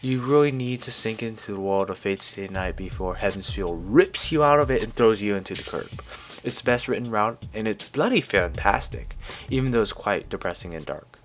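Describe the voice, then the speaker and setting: A man's voice: lowish voice, lively intonation, moderate pace. A man reading a scripted video review in an informal, opinionated way. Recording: music behind the voice. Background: background music.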